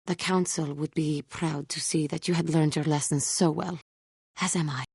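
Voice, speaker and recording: A woman voicing a brooding, remorseful catlike alien Jedi warrior in a video game, speaking formally and earnestly with a husky voice. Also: low-pitched, fairly even intonation, darkish tone, no echo, studio quality, heavily acted. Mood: ashamed, confiding. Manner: formal, solemn, measured speech without contractions. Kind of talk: video game character acting.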